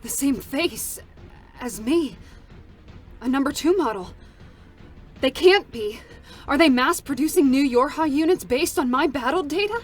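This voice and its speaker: A woman voicing a bitter, vengeful female android soldier who snarls, curses and shouts, in a live staged reading of game dialogue. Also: high voice, lively intonation, a little slow, long pauses, soft background music. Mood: shocked.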